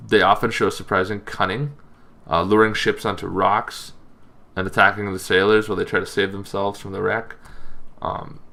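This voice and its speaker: Male explainer host, low register with heavy vocal fry, informal and halting delivery. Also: flat delivery, bright, forceful tone. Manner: casual, loosely phrased, halting delivery. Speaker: host of a tabletop roleplaying lore show. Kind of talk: informal explainer on game monsters.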